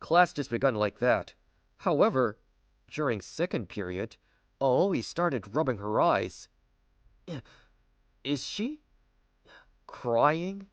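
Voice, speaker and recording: Lively-voiced teenage boy narrator voiced by a man. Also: medium pitch, slow pace, long pauses, no room sound. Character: kind, self-assured teenage schoolboy narrating. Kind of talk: anime dub voice acting and narration. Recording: music bed behind voice.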